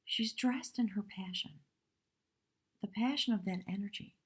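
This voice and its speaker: A woman with a hoarse voice giving a live spiritual tarot reading in measured, reverent short phrases. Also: mid-range voice. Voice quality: husky, breathy. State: hoarse from much talking. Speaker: tarot reader, live stream host.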